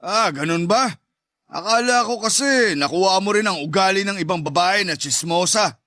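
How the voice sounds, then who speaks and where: A man's voice: high voice, moderate pace, pushed and bright, no echo, clean studio sound. A man playing a domineering, jealous ex-husband who sneers and taunts in an audio drama. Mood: mocking. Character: domineering, mocking macho ex-husband, jealous and scornful. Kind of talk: Tagalog audio drama dialogue.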